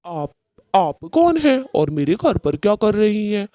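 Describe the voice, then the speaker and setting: A melodramatic male cartoon bird acted by a man with a soft-edged, mellow tone and very animated intonation. He sounds like an aggrieved husband, reproachful and scornful, recorded close and dry. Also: high-pitched, a little slow, dry recording. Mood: puzzled. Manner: dramatic, reproachful. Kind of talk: Hindi cartoon story dialogue.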